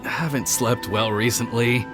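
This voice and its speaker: A man's voice: medium pitch, steady tone, unhurried, close to an everyday voice. An actor playing a dutiful, anxious young male guard in an anime game, with a formal, conscientious and hesitant manner. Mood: troubled, apologetic. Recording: music behind the voice.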